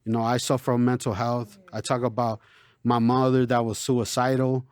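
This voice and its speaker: A man born in Chicago and raised in El Paso, a rapper talking casually in an interview, relaxed and streetwise, always saying "you know". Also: mid-range voice, normal speed, dry recording. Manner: laid-back, streetwise, lots of "you know". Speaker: local rapper, interview guest.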